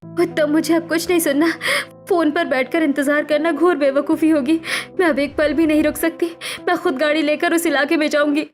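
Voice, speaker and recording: A woman's voice: high voice, steady tone, a little fast, soft background music, lightly acted. A woman voicing a determined, anxious young daughter-in-law in a cartoon story, earnest and emphatic. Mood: resolute. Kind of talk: dramatic Hindi cartoon story acting.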